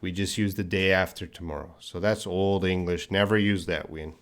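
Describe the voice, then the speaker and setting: A man's voice: sits low, fairly even intonation, normal speed. A middle-aged man with a light Canadian accent teaching English on a live stream, patiently answering viewers' questions and repeating words for learners.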